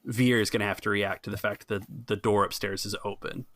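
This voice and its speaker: A man running a tabletop game as game master, improvising the narration in a halting, informal way. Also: lowish voice, fairly even intonation, fairly bright tone, no room sound, studio quality.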